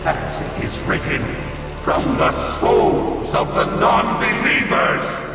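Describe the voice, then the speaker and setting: Creaky, echoing fanatical dark priest. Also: male voice, very high voice, wildly swooping pitch, takes it slowly, heavily acted. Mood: zealous. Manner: zealous, chanting scripture-like pronouncements. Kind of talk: dramatic video game cutscene acting. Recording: distant echoing hall, loud music.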